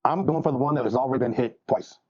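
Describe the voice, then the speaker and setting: A man's voice: medium pitch, fast, darkish tone, no echo. A man playing casually in a tabletop fantasy game, heard through a dull microphone. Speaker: player.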